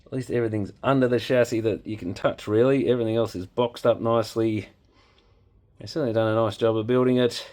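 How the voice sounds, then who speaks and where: Aussie guy, kinda low voice, just thinking out loud while he checks stuff over, real casual. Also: fairly even intonation, fast. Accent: Australian. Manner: unscripted, musing, understated. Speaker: electronics repairer presenting his channel. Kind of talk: casual hands-on commentary.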